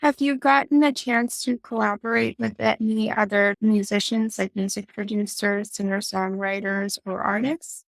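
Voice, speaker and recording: A woman's voice: mid-range voice, fairly even intonation, normal speed, creaky, few pauses, no room sound, poor audio. A woman hosting an interview podcast, giving introductions and asking prepared questions.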